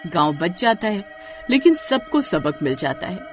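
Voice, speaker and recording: A woman tells a scary story as a scripted narrator. Her voice sits a little low and swings expressively for drama, with faint music behind it. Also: sing-song, measured pace.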